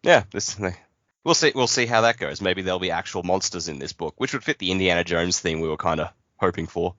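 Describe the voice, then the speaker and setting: A deep-voiced man with a bright, forceful tone muses through a book discussion, wandering between thoughts. The sound is dry and a bit dull. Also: brisk, no echo. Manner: rambling, thinks aloud with fillers and restarts. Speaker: podcast co-host. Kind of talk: casual book club chat.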